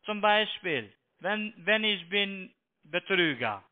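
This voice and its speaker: A man with a foreign accent, a non-native speaker giving instructions on a phone call in halting, repetitive, broken phrases. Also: high voice, lively intonation. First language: not German. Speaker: phone scam call-centre agent. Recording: tinny, band-limited phone line.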